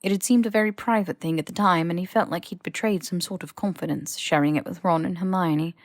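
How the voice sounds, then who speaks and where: A woman's voice: on the low side, fairly even intonation, fairly quick, no echo, clean studio sound. A woman reading scripted fan fiction as an audiobook narrator. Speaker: podfic narrator.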